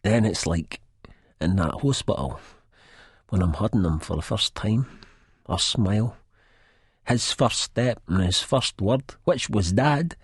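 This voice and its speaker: A man's voice: on the low side, unhurried, pauses often, breathy edge, dry recording, studio quality. A man with an Edinburgh Scottish accent narrating an audiobook in the first person, dramatically and in dialect.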